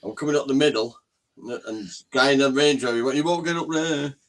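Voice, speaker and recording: Northern English man, mid-pitched, blunt. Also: quick pace, fairly bright tone. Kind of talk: casual chat, anecdotes.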